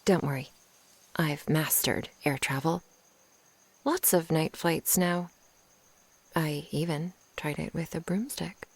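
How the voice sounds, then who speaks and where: A woman playing an affectionate young witch in an intimate ASMR roleplay, speaking softly, tenderly and playfully, often in a whisper. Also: low-pitched, fairly even intonation, takes it slowly, pauses often, no room sound, full character voice. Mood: reassuring. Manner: soft, breathy, tender murmuring with little hums.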